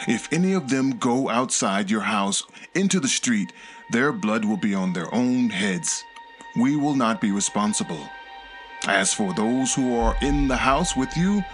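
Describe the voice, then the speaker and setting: A man's voice: lowish voice, lively intonation, measured pace, fairly bright tone, soft background music. A man playing a solemn Israelite spy in a dramatized scripture reading, speaking firmly. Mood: firm, warning. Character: two solemn male Israelite spies making an oath.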